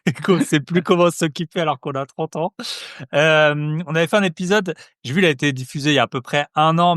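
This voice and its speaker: A young adult man with a sing-song accent hosting a podcast. He talks casually and pitches eagerly, with lots of "euh" fillers. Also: a bit bright, no echo, studio quality. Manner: chatty, many "euh" fillers, sales-pitch enthusiasm. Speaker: podcast host. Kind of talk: casual podcast monologue and promo.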